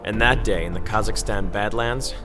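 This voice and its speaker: A man playing a sombre war chronicler, giving measured, dramatic narration for a video game cutscene. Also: fairly low pitch, lightly acted. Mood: sombre, reflective. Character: sombre male war correspondent recounting a grim war. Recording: loud music under narration.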